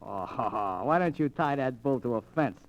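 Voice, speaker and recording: A man playing a slangy, streetwise small-time American bookie in an old-time radio drama, chatty and animated. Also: medium pitch, unhurried, dull audio, close to an everyday voice.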